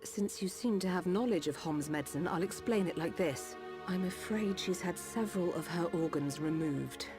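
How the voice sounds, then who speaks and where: An actress playing a calm, dutiful village doctor of a machine race in a video game cutscene, over music. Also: female voice, on the low side, moderate pace, hardly any pauses, lightly acted. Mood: grave, clinical.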